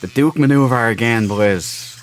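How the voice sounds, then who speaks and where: A man's voice: medium pitch, normal speed, a little hiss or hum. A man hosting a casual let's play, sweary and exclamatory as he talks to himself. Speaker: gaming channel host. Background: game music.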